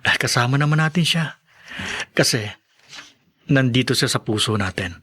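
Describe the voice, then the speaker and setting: A man's voice: medium pitch, animated, moderate pace, bright, forceful tone, breathy edge. Young Filipino male radio drama lead: grateful, sincere, affectionate, medium register. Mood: heartfelt. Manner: sincere, heartfelt.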